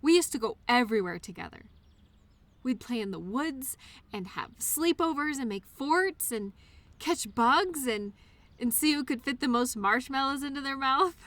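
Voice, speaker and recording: An innocent imaginary friend played by a woman talks straight to the listener in an intimate audio drama. The voice is high and bright, recorded close and dry. The delivery is earnest and full of childlike wonder, with a wistful, hesitant edge. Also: animated, moderate pace, no echo. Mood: nostalgic. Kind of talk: intimate scripted audio roleplay monologue addressed to listener.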